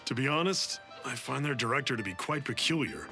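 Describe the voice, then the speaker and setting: An actor playing a reserved, thoughtful young townsman in an anime game, measured and reflective. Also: medium pitch, lively intonation, lightly acted. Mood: guarded. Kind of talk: anime video game voice acting. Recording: music behind.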